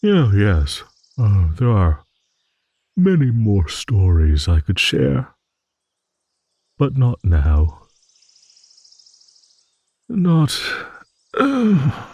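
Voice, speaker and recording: Ancient male dragon, acted by a man, low-pitched, unhurried, rambling, good-natured, musing hums, dry close sound, fantasy audio roleplay. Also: huge pitch swings, mellow, husky, no room sound, full character voice. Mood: drowsy, courteous. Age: older.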